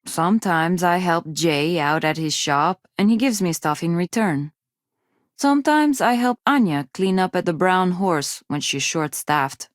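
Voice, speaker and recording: An actress playing a shy, self-doubting young undergraduate from a poor space colony, with a hesitant, awkward manner, in video game dialogue. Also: female voice, fairly low pitch, measured pace, no echo, studio quality, close to an everyday voice. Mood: resigned, wistful. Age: young adult.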